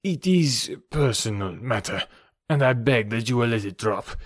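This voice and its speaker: A man's voice: mid-range voice, lively intonation, moderate pace, dry recording, studio quality, lightly acted. A man voicing a proud French count in an audiobook, formal and dramatic, indignant yet courtly. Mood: courteous, grateful. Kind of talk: audiobook character voice.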